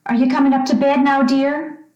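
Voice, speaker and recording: A woman's voice: high voice, level tone, moderate pace, mellow, dull audio, lightly acted. A woman playing a fussing middle-aged mother in an old-time radio drama. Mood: gently probing. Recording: roomy, echoing recording.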